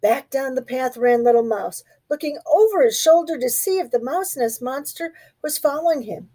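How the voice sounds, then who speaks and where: A woman reading a children's storybook aloud expressively, pausing to put questions to young listeners. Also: sits high, lively intonation, warm tone. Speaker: storybook reader. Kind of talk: children's read-aloud narration.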